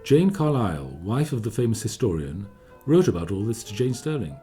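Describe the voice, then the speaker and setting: A man playing a confiding, measured storyteller narrating history in a scripted radio play. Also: lowish voice, very animated, warm tone. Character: discreetly gossiping male narrator recounting a composer's history. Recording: studio radio play with music behind. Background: music.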